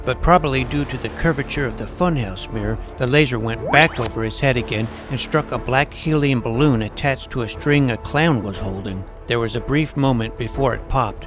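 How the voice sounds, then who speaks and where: A man playing an earnest, nerdy schoolboy superhero who narrates his own adventure in a deadpan first-person style. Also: medium pitch, moderate pace, few pauses, lightly acted. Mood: earnest narrating. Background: music.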